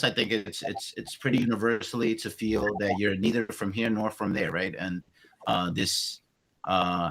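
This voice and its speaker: A man's voice: on the low side, fairly bright tone, poor audio. Reflective Spanish-accented man in his forties. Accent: Spanish-inflected. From Colombia. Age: 40s. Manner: reflective, searching for words. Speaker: podcast guest. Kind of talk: casual long-form conversation.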